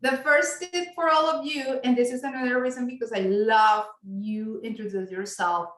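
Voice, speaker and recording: A woman with a fairly high voice and non-native English, heard in a roomy echo over a video call. She instructs a workshop group, encouraging them and showing appreciation. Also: steady tone, moderate pace, fairly bright tone. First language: not English. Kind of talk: teaching an online business workshop.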